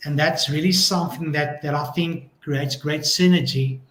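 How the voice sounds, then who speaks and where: A man talking as a songwriter guest on a live-stream video call, slow and thoughtful. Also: a little high, fairly even intonation, fairly bright tone, slight background noise. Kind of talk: casual live-stream chat. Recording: echoey room.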